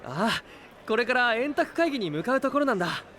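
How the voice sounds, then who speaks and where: A man's voice: very high voice, big pitch swings, brisk, sharp tone, slight background noise, heavily acted. A man playing an earnest, trusting young knight hero in an anime-style voice drama, speaking with friendly sincerity.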